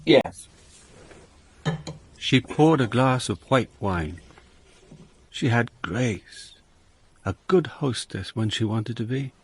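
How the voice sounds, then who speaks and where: A man's voice: medium pitch, expressive, takes it slowly, pauses often, husky. An actor playing a wry, world-weary police inspector who narrates his own story in a radio drama. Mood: reflective. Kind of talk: radio drama acting with first-person narration.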